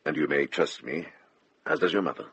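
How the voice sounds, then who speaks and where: An actor playing a shrewd middle-aged monk investigator in a radio drama, speaking in a terse, probing, direct way with a husky voice. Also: male voice, rumbling low, fairly quick, fairly bright tone, no echo, lo-fi sound, full character voice. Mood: brisk, earnest.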